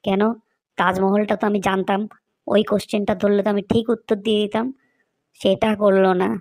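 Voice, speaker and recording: A woman's voice: medium pitch, level tone, fairly quick, darkish tone, no echo, lightly acted. A woman voicing a grumpy, evasive, lazy schoolboy in a comedy cartoon, with a whiny, grumbling manner.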